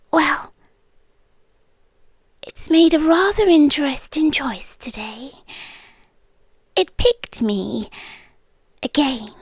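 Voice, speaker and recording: A British woman playing a soothing, possessive hypnotist girlfriend in an ASMR roleplay, speaking softly and breathily, often in a whisper, close to the microphone. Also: sits high, no room sound, full character voice. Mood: doting, possessive. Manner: slow, hypnotic, coaxing.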